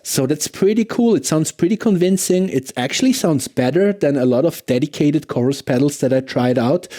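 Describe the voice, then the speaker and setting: A man's voice: mid-range voice, mellow. A man hosting a casual product review, talking conversationally and often checking in with a questioning "right?". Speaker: gear reviewer and video host.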